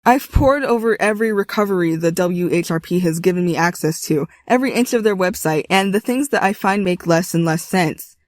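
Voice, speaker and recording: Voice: medium pitch, brisk, few pauses, no room sound, studio quality. A video creator narrating a scripted video essay.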